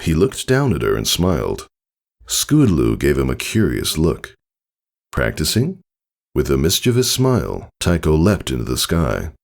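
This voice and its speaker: A man with a low voice narrates a story from a script. There is fry in his tone, and his delivery moves expressively up and down. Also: wildly swooping pitch, moderate pace. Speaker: fan fiction reader, narrator.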